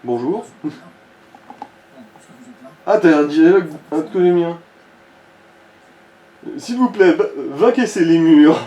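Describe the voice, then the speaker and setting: A man's voice: on the high side, lively intonation, slow, long pauses, warm tone, muffled recording. A man giving casual, crude-humoured gaming commentary as he guides a player. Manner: crude joking, swearing. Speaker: let's play commentator guiding the player. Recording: echoey room with faint background noise.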